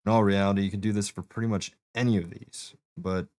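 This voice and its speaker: A man with a deep voice casually walking a friend through a streaming setup, step by step with fillers. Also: steady tone, fast, darkish tone, dry recording. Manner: explains steps plainly, fillers, talks to a friend. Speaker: streamer giving setup help. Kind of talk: casual walkthrough.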